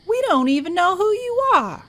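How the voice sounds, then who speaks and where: A woman's voice: extremely high pitch, very animated, moderate pace, a bit bright, exaggerated voice. A woman playing a sassy, challenging band leader and hotel owner in an audio drama. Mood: sassy, annoyed.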